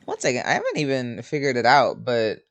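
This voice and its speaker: Very low-voiced, playful woman gaming. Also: wildly swooping pitch, fairly quick, no echo. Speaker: video game streamer. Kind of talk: casual game-play reactions. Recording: faint game music behind. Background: video game music and sounds.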